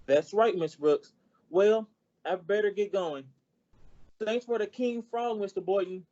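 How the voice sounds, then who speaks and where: An eager, folksy teenage boy played by a man in a radio comedy, earnest and polite. The voice is soft-edged and mellow, on a slightly muffled recording. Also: high voice, measured pace. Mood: cheerful, earnest. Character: eager, naive, good-natured teenage schoolboy. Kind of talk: old-time radio comedy acting.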